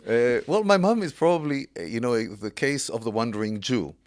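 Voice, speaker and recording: A man in his sixties from Israel, a podcast guest telling his family history in an unhurried way with many pauses. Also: mid-range voice, expressive. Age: 60s. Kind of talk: casual interview chat.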